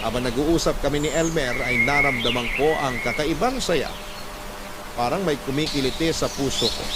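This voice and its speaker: A man's voice: mid-range voice, lightly acted. A man playing a lovelorn, self-deprecating letter writer, confessing in a dramatised radio narration. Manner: confessional, wry self-mockery. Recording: loud music bed under voice.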